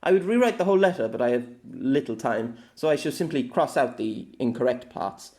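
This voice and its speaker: A man's voice: medium pitch, lively intonation, a little mellow, lightly acted. A man voicing a weary, lonely, long-lived empress in an audiobook, reading her farewell letter in a formal, wistful manner. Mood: apologetic, flustered. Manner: formal, wistful, measured with dramatic pauses. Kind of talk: scripted audiobook narration of a letter.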